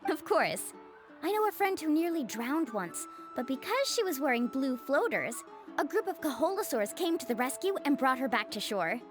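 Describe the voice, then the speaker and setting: A woman's voice: high-pitched, sing-song, normal speed, music in the background. A woman playing a friendly young island guide and shopkeeper in a video game, chatty and reassuring. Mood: cheerful, welcoming.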